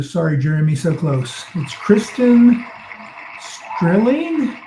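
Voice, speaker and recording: A man's voice: on the high side, dark tone. A man casually co-hosting an online meeting and running a prize drawing. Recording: roomy echo on online call.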